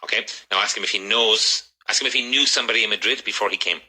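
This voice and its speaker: Husky low-voiced Irish man teaching. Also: pushed and bright, rough recording. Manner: brisk corrective teacher. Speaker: English teacher and radio host. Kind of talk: drilling students in a live English class.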